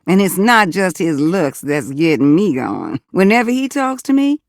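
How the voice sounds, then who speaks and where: A sassy, folksy American lady from a video game, a household fixture come to life. Her pitch swings widely as she coaxes and teases in colloquial talk. She is warm-hearted, and the recording is close and dry. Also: big pitch swings, moderate pace, no room sound. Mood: confiding, fond. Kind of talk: video game character dialogue.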